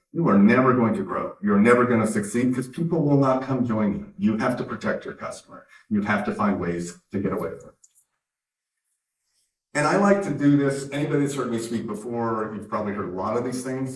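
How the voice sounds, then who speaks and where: A man from Canada with an American accent, giving an emphatic conference keynote speech with wry asides. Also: medium pitch. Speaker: conference speaker, company founder. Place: conference hall. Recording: roomy hall from a distance.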